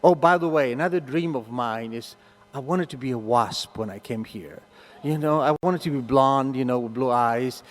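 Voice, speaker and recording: An older man from Argentina with an Argentine Spanish accent, a native Spanish speaker, telling an animated story to a meeting and acting out the voices. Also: medium pitch, normal speed, long reverb tail. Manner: animated, acts out voices in his stories. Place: meeting hall.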